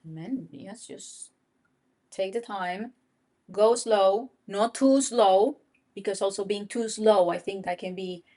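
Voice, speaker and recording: A woman's voice: medium pitch, slow, dark tone. A woman whose first language is Spanish, co-hosting a casual chat about dating, often restarting her sentences and repeating words. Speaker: podcast co-host.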